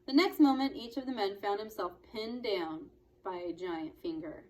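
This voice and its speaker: A woman narrating a children's book expressively, with deliberate, dramatic phrasing. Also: medium pitch, rough recording. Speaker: read-aloud storyteller.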